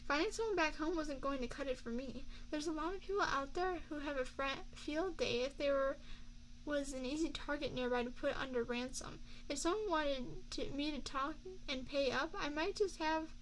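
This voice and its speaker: A woman playing an affectionate young mafia boss girlfriend in an ASMR roleplay, speaking in a soft, confiding, measured way close to a binaural microphone. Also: sits high, a little hiss or hum, natural voice, low-quality recording. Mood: guarded, serious. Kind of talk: ASMR girlfriend roleplay, scripted intimate monologue.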